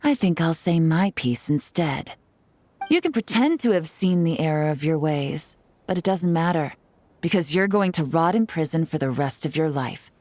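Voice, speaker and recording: An actress playing a cool, sardonic young female detective in a video game, composed, measured and wry. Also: low-pitched, animated, mellow, dry recording. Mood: cold contempt. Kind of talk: dramatic game voice acting.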